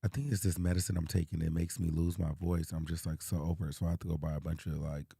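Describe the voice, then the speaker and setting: A man hosting a casual livestream as a life coach, talking softly and hoarsely because his voice is mostly gone. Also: low voice, little pitch movement, fast talker, dry recording, studio quality. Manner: soft, subdued, talking through a lost voice.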